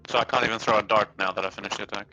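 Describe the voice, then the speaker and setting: A man's voice: lowish voice, steady tone, rapid-fire, bright, forceful tone, dull audio. A man playing in a tabletop game, asking hesitant rules questions. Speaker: player. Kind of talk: casual game chat.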